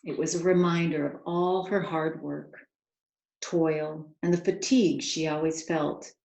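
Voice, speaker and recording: Storybook narrator, woman, unhurried and earnest, fry in the voice, roomy sound. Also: low-pitched, fairly even intonation, darkish tone. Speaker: children's author reading aloud. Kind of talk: expressive storybook read-aloud narration. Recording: roomy, echoing home recording.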